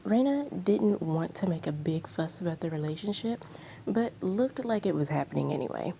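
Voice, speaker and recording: A woman reading fan fiction aloud as expressive narration, with faint background noise. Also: fairly low pitch, moderate pace, vocal fry.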